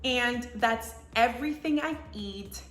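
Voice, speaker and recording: A woman's voice: fairly high pitch, slow pace, a bit bright, reverberant. A woman from the Dominican Republic with a Dominican accent, chatting enthusiastically about her favorite food in a casual vlog. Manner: upbeat, enthusiastic recommendations. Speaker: lifestyle video creator.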